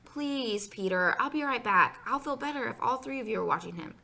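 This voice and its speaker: A woman's voice: on the high side, animated, brisk, vocal fry, bright, forceful tone, heavily acted. A woman voicing a fond, hesitant mother in a children's storybook, coaxing and motherly.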